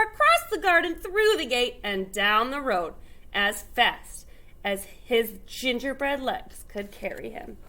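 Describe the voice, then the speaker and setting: A woman's voice: very high voice, bright, forceful tone. A woman reading a children's story aloud expressively, with emphatic stretched phrases and little explanatory asides. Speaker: storybook reader.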